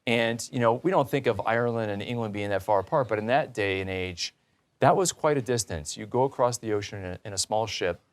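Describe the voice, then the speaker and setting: A man's voice: on the low side, a little fast. A man hosting a conversational interview, speaking in an enthusiastic, emphatic and affirming way. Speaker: talk show host.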